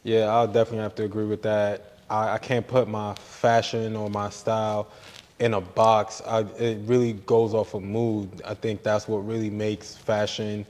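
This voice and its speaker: Laid-back low-voiced man in a reverberant room. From New York. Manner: relaxed, drawn-out, easygoing slang. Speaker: guest, entrepreneur. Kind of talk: casual interview chat.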